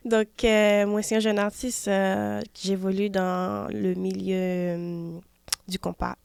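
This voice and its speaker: A woman's voice: mid-range voice, flat delivery, takes it slowly, vocal fry, darkish tone, slight background noise. A woman in her twenties from Haiti with a Haitian French accent, a singer answering interview questions hesitantly, with many fillers. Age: 20s. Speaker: singer guest. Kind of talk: casual interview.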